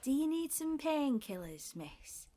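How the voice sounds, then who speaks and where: A woman's voice: high-pitched, big pitch swings, measured pace, noisy background. A British woman playing a chatty, motherly, apologetic hotel housekeeper who rambles and fusses deferentially in an audio drama. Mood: eager to help.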